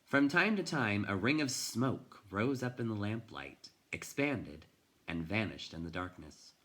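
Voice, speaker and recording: Low, animated male storybook reader. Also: a little slow. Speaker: teacher reading aloud. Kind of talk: expressive storybook narration.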